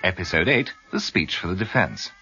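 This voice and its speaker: Low British announcer over loud music. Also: male voice, animated, normal speed. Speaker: announcer, narrator. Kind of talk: scripted radio drama narration. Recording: slightly dull radio recording, loud music. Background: loud theme music.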